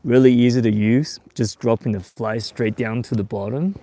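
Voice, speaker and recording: A man in his forties who grew up in Taiwan and Australia, hosting a casual fishing livestream from a boat on a lake in a relaxed, chatty way. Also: mid-range voice, moderate pace. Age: 40s. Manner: relaxed and chatty, with bursts of excitement when a fish bites. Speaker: fishing show host.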